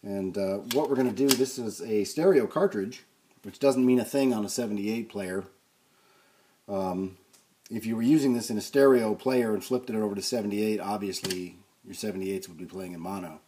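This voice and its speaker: A man with a fairly low voice hosts a hands-on restoration video. He talks casually and without a script, thinking aloud as he explains each step of the work. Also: normal speed, warm tone, a little hiss or hum. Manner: unscripted, thinking aloud while working. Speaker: host of a vintage record player restoration video series. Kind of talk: casual hands-on repair demonstration talk.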